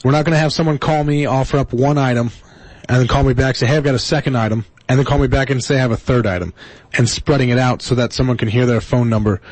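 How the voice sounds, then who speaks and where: A man's voice: medium pitch, fairly even intonation, quick pace, no echo, rough recording. Exasperated folksy man hosting call-in radio. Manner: exasperated, folksy, repeats himself. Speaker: radio host of a call-in swap show.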